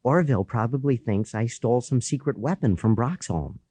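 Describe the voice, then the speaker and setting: A man's voice: mid-range voice, lively intonation, moderate pace, dark tone, breathy edge, no room sound. A man voicing a cool, self-assured seventh-grade girl in an audiobook, unbothered and knowing.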